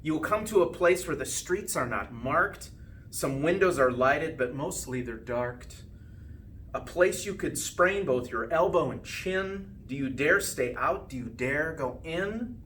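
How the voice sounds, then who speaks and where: A man reading a rhyming children's storybook aloud with expressive, sing-song phrasing and drawn-out line endings. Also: on the high side. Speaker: school principal reading a storybook. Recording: distant mic with faint background noise.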